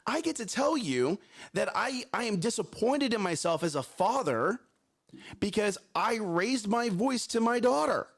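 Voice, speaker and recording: A man's voice: sits high, animated, a little slow. A man co-hosting a live morning talk show, speaking in a strong, carrying voice. He talks energetically, repeats words and keeps checking in with "right?" and "okay?".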